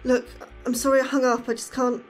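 An actress playing an anxious astrobiologist in a naturalistic audio drama, hesitant and questioning. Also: female voice, sits high, flat delivery, fairly quick, soft background music, natural voice. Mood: dejected. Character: anxious woman astrobiologist, a drifting academic. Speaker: actor.

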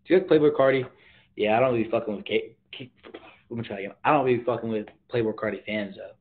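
A man's voice: mid-range voice, fast talker. Slangy teen guy on a tinny phone stream. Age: teens. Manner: slangy, laid-back, reads viewer comments aloud. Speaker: livestream host. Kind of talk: casual livestream chat. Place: bedroom, indoors. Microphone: phone at arm's length.

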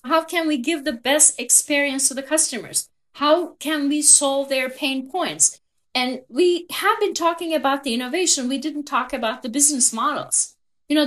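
A woman's voice: sits high, fairly bright tone. A woman in her fifties from Turkey with a Turkish accent, a tech executive telling her career story earnestly as an interview guest over a video call. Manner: earnest, storytelling, occasional word searches. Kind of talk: conversational interview.

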